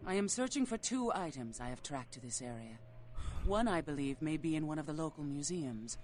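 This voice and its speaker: A woman playing a cold, predatory female vampire in a video game, speaking in a measured, aloof, formal way. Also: sits low, expressive, a little mellow, slight background noise.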